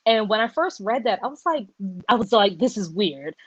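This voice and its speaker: A woman hosting a casual book discussion, talking in animated, rambling runs and correcting herself as she goes. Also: mid-range voice, normal speed, no echo, highs cut off. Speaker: podcast host.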